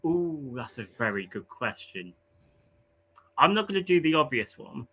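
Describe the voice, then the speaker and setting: A man's voice: mid-range voice, big pitch swings, a little slow, pushed and bright, breathy edge. A man calling in to a fan show, enthusiastic and thinking aloud as he talks. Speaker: caller. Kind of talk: casual fan chat.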